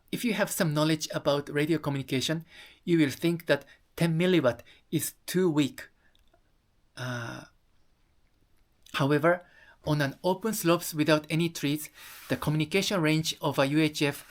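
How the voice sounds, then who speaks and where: A person from Japan with a Japanese accent presenting an explanatory talk, speaking haltingly and often rephrasing. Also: mid-range voice. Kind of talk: explanatory talk to camera audience.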